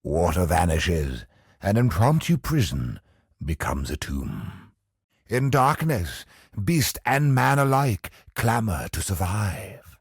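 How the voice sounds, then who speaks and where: An actor voicing a brooding, grandiose godlike villain in a video game, husky and gravelly, with an ominous, deliberate delivery. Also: male voice, low voice, very animated, dry recording, clean studio sound, exaggerated voice. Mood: grave, musing. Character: godlike male cosmic villain, brooding, grandiose, obsessed with perfection. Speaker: video game boss character.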